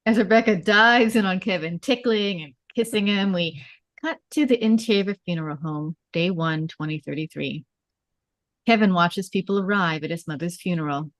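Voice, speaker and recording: A woman reading screenplay stage directions in a measured way, as scripted narration at a table read. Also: fairly low pitch, no echo.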